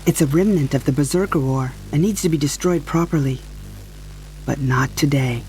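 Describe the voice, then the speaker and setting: A woman playing a bold adult superheroine in an audio drama, brisk and teasing. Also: deep voice, dark tone, soft background music, natural voice, rough recording.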